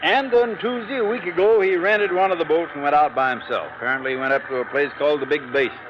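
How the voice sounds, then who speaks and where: An actor playing a folksy, evasive insurance agent in a 1950s radio drama, with a defensive, insistent manner. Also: male voice, on the high side, quick pace, noisy background, natural voice. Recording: dull vintage radio broadcast.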